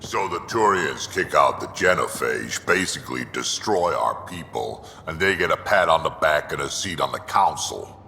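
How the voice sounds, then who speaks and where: Grizzled alien veteran for a video game: rock-bottom gravel voice, blunt, dry-humored. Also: male voice, rumbling low, lively intonation, normal speed, few pauses, pushed and bright, in a large hall, a little hiss or hum, exaggerated voice. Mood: wry, grumbling. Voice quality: gravelly, growling. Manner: blunt, sardonic. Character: gruff, grizzled old male alien warrior, blunt and wry. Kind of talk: video game character acting.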